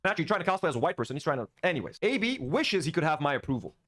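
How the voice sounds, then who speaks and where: A man's voice: a little high, big pitch swings, rapid-fire, fairly bright tone. A man from Lebanon ranting angrily and swearing in a streamed clip.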